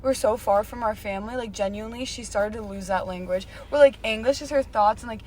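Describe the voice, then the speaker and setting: A woman's voice: fairly high pitch, fairly quick, a little mellow. A woman in her late teens co-hosting a student podcast in a casual, slangy way. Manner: slangy, informal. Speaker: podcast co-host, student editor.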